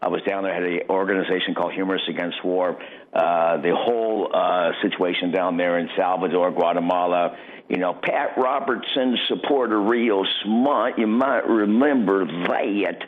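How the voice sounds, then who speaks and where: Middle aged guy radio guest, deep voice, rambles and stops and restarts, muffled lo-fi audio. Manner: halting, rambling, many stops and repeated starts. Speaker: radio guest, political satirist and candidate. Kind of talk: casual interview talk, personal anecdotes.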